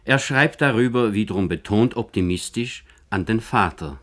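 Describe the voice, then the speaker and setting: A male narrator with a fairly low voice reads a biographical radio feature. His delivery is formal and measured, clearly scripted. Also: lively intonation, a bit bright.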